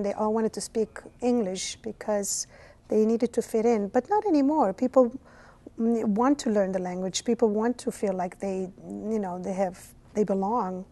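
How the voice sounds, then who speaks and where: Italian-accented woman, dark mellow voice. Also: fairly high pitch, normal speed. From Italy. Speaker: interview guest, language teacher. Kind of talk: casual interview conversation. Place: cable TV studio.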